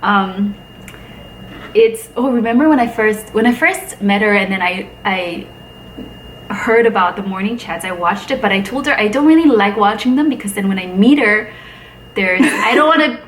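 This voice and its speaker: A woman from Korea who grew up in Germany and Belgium, chatting in a relaxed way as a guest. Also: mid-range voice, normal speed. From Korea, raised in Germany and Belgium. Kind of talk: casual chat. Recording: distant mic with faint noise.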